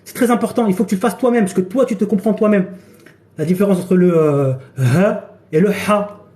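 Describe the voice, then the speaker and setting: Male coach, hoarse from a cold, high-pitched, chatty and repetitive on a phone live stream. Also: brisk, dark tone. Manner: chatty, repeats himself, many "d'accord" and "frère". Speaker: fitness and nutrition coach. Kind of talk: casual TikTok live chat. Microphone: phone during a live stream.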